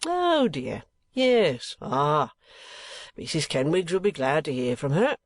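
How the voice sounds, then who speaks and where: A man voicing a pompous, excitable middle-aged man who bursts into wrathful outbursts, in a storybook reading. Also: a little high, unhurried, no room sound. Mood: fussy, pleased.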